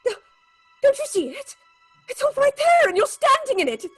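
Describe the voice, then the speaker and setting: Horror drama role: unhinged actress seeing blood. Very high voice, slow, feverish, halting delivery over music. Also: female voice, big pitch swings. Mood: frantic alarm. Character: unhinged theatre actress haunted by visions of blood. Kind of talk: horror audio drama acting.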